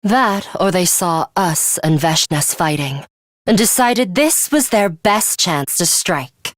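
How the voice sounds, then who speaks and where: A woman voicing a cold, aloof young woman fighter in a video game, with a composed, formal, deadpan and disdainful manner and a bloodthirsty streak. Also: fairly low pitch, takes it slowly, no room sound. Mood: calm, determined. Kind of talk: English video game voice acting.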